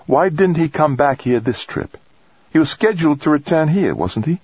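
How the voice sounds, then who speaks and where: A man's voice: a little high, expressive, moderate pace, a little mellow, slightly rough, no room sound, muffled recording, pitched above the performer's own voice. A man reading an audiobook, voicing a friendly, thoughtful woman colleague with a breezy, colloquial manner. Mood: probing. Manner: breezy and colloquial, calling people mate. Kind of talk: audiobook character dialogue.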